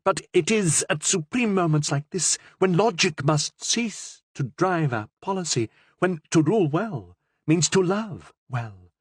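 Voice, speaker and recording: A man's voice: on the high side, expressive, unhurried, slightly rough, dry recording, pitched above the performer's own voice. A man voicing an imploring old queen mother. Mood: pleading. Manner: imploring, formal speech. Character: pleading older queen mother, earnest and insistent.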